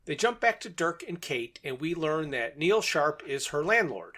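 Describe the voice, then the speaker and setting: A man's voice: fairly high pitch, big pitch swings, moderate pace, bright, forceful tone. A man co-hosting a podcast, retelling a story step by step in conversation and often chuckling. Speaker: podcast host recapping a radio drama. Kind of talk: episode recap in conversation.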